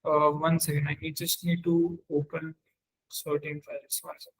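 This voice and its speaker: A man teaching in a webinar, demonstrating software step by step and often halting to search for words. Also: a little high, monotone, rough recording. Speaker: webinar trainer.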